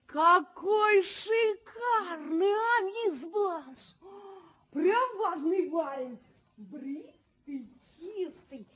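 Radio play: chatty servant woman, folksy and gushing, high voice, muffled old recording. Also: expressive, very slow, heavily acted. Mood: amazed, amused. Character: gossipy, easily amazed housemaid with simple folksy speech. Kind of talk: Soviet radio play acting. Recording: dull 1970s radio drama recording.

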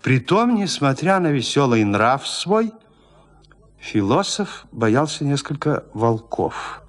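A man narrating a classic literary tale for an audio drama in a measured, expressive storytelling manner. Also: mid-range voice.

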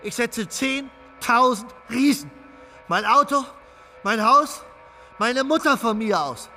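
An actor playing a reckless, broke compulsive gambler in a German radio drama, with an insistent, swaggering manner. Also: male voice, extremely high pitch, lively intonation, slow pace, sharp tone, faint music behind, heavily acted. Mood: feverish bravado. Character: reckless, broke, compulsive gambler man, feverishly pushing for bets.

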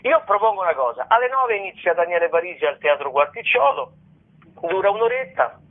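A man talking informally as an interview guest, a stage comedian explaining his work in a halting, rambling way with repeated words and tag questions. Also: high-pitched, big pitch swings. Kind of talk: informal radio interview talk. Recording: band-limited radio or telephone-like sound.

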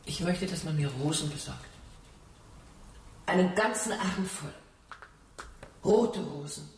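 A woman's voice: low-pitched, animated, slow pace, a little mellow, heavily acted. An actress playing a condemned woman spy in a radio drama, flirtatious and drily ironic, with proud composure. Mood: wistful, composed. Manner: theatrical, teasing, drily ironic. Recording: roomy, distant, faintly noisy radio play.

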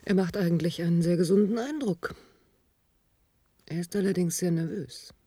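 An actress playing a sober, reserved medical expert with a restrained, clinical manner in a radio drama. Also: female voice, on the low side, normal speed, dark tone, breathy edge, no room sound. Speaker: actor in a radio play. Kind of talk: German radio drama acting.

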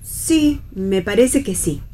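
Argentine mom in a radio play, middle-aged, fussy and nervous, keeps offering things kind of hesitantly. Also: female voice, mid-range voice, animated, slow pace, warm tone, faint music behind. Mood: awkward, tender. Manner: hesitant, solicitous, fussing. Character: fussy, anxious middle-aged mother visiting her pregnant daughter. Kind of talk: radio theatre play reading.

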